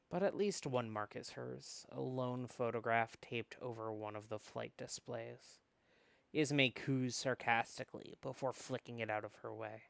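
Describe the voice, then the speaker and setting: A co-host of a book club who chats casually and reads a visual novel aloud, animated and prone to rambling asides. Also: medium pitch, a little slow, vocal fry, no room sound. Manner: animated, rambling, self-interrupting. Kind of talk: casual chat mixed with dramatic read-aloud.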